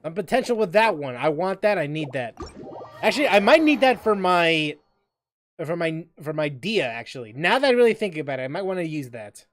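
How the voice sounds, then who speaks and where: A man's voice: a little high, sing-song, a little fast, a bit bright, a little hiss or hum. Chuckling man muttering over his gameplay. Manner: rambling, self-talking, chuckling, repeats himself. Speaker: gaming streamer. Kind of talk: casual gameplay commentary.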